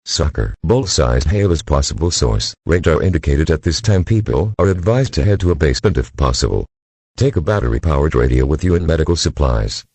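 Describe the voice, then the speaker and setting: A man's voice: very deep voice, lively intonation, a little fast, darkish tone, breathy edge. A man reading a scripted emergency weather bulletin as a formal, synthetic-sounding announcer.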